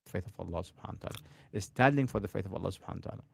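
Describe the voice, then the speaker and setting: Man, fiery preacher, rapid-fire, gravelly, dry close sound. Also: low voice, no echo. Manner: forceful, rapid, repetitive. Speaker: preacher in a played clip. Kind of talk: fiery religious lecture.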